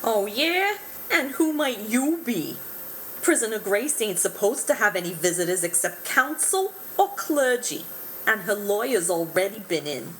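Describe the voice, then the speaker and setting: An actress playing a brusque, skeptical prison matron with a gruff, streetwise manner in a radio play. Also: female voice, mid-range voice, lively intonation, a little slow, a bit bright, slight background noise, poor audio. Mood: suspicious, challenging.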